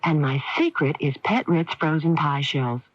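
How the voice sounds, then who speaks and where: An actress playing a modest, cheerful home baker giving a testimonial in a radio commercial. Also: female voice, deep voice, moderate pace, dry recording. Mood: friendly, confiding. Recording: dull lo-fi radio broadcast, dry.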